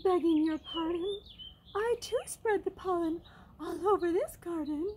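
A woman's voice: very high-pitched, measured pace, darkish tone, slight background noise, pitched above the performer's own voice. A woman voicing a bragging but polite storybook butterfly with a lilting manner.

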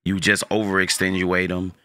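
A young man with a deep voice giving a loose, rambling, slangy tutorial on his streaming setup from his home room. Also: monotone, normal speed, bright, forceful tone, dry recording. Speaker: streamer showing mic settings. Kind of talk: casual screen-recorded tutorial.

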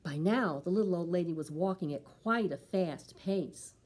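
A middle-aged woman reading a picture book aloud for preschool storytime, with deliberate, dramatic emphasis on sound words. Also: on the low side, darkish tone, breathy edge. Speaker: library storytime reader.

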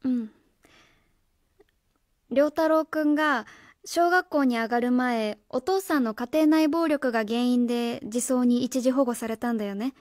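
A woman's voice: a little high, unhurried, no room sound, clean studio sound, lightly acted. A woman playing an earnest, by-the-book schoolteacher in a radio drama, careful, polite and insistent. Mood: gentle, serious. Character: earnest, by-the-book female schoolteacher on home visit. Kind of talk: Japanese radio drama acting.

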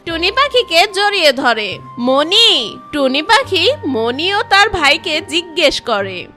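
A woman's voice: very high-pitched, very animated, unhurried, pushed and bright, faint music behind. A woman narrating a scripted cartoon story for children.

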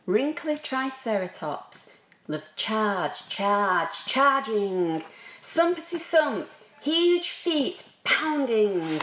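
A woman reading a children's picture book aloud with expressive, drawn-out phrasing. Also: a little high. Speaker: school staff reader. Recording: roomy, echoey sound.

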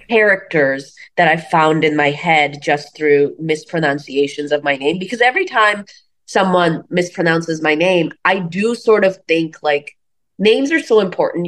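Low-pitched woman from Bangladesh, chatty storyteller. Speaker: comedian, interview guest. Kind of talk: casual conversational interview.

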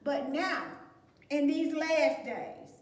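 A woman's voice: sits high, steady tone, takes it slowly. An older woman teaching a Sunday school lesson, reading scripture and explaining it in an earnest, emphatic way, recorded from a distance in a plain room. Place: plain room against a beige wall. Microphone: fixed camera at a distance. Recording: echoey room, voice from far off.

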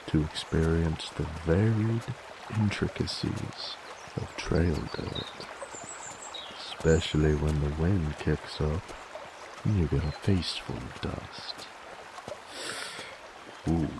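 A man's voice: rumbling low, background noise, full character voice. A man voicing a laid-back, teasing, dominant werewolf bounty hunter in roleplay ASMR, with a folksy, wry American Southern or Western drawl.

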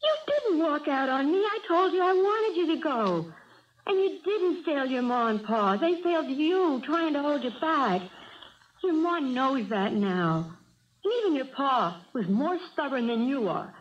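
An actress playing a stubborn, loyal young country sweetheart with a Southern accent, feisty and insistent, in a 1970s radio drama. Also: female voice, sits high, very animated, unhurried. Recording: muffled old radio recording.

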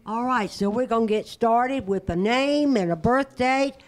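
A woman's voice: fairly high pitch, measured pace. Chatty woman hosting a senior party. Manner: cheerful, chatty, holds a handheld mic. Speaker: senior center director. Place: indoor community room. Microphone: handheld mic.

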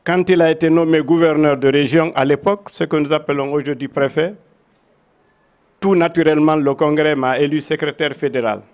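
An older man with a Guinean accent giving a measured, oratorical public speech with personal recollections into handheld microphones. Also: fairly high pitch, fairly even intonation, a little mellow. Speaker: veteran local dignitary. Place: crowded covered event venue. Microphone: handheld microphones held in front.

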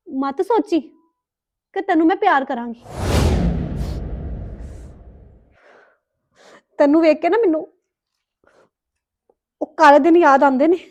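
A woman's voice: very high-pitched, darkish tone. A woman playing a bitter, anguished young mother in a domestic melodrama, reproachful and defiant. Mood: bitter, tearful. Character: bitter, anguished young mother rejecting her crying baby daughter. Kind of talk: melodramatic domestic drama acting.

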